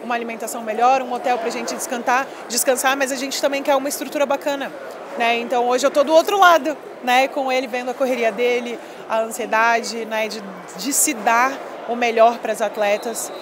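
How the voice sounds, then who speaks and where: High-voiced woman at a noisy expo. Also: fairly even intonation, fairly quick. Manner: chatty, emphatic, many filler "né". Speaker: former volleyball player, interviewee. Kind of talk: casual interview. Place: busy expo hall, indoors. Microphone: handheld mic held by interviewer.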